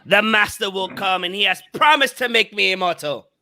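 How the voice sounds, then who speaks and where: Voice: mid-range voice, normal speed, sharp tone, no echo. A performer reading a deranged, wheedling asylum patient in a theatrical gothic horror play. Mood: fervent obsession. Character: deranged asylum patient craving living creatures, wheedling and manic. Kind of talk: amateur script read-through of a gothic horror play.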